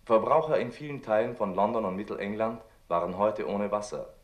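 A man playing a formal, impersonal radio newsreader in a drama, delivering a bulletin in a measured, official way. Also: lowish voice, reverberant, lightly acted.

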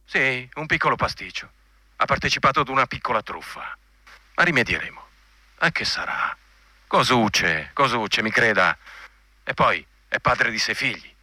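A man's voice: mid-range voice, sharp tone, no echo. An actor playing a coarse, bigoted male army general in a radio drama, blunt, commanding and insinuating. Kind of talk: Italian radio drama acting.

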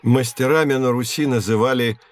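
An actor playing a learned professor who explains history in a measured, didactic way in an educational audio drama. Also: male voice, medium pitch, lightly acted. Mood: patient, explanatory. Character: learned male professor guiding children through history.

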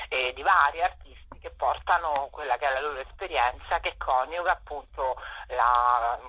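Woman on a radio phone-in, kinda low bright voice, husky, stops and starts while explaining. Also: lo-fi sound. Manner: hesitant, explanatory, with drawn-out pauses. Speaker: festival presenter, interview guest. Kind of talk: informal radio interview.

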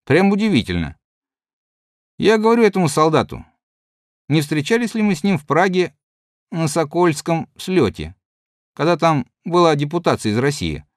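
A man's voice: on the high side, normal speed, long pauses, dry recording, studio quality. A man reading the part of a good-natured, rambling middle-aged soldier telling his comrades a yarn. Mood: chatty. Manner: chatty, lively yarn-spinning to comrades. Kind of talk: audiobook reading.

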